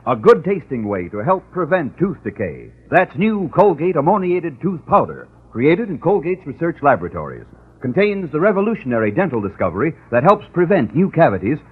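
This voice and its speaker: A man's voice: a little high, breathy edge. An American man reading an old-time radio commercial with a punchy, declarative sales delivery. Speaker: commercial announcer. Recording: tinny, narrow-band old radio recording.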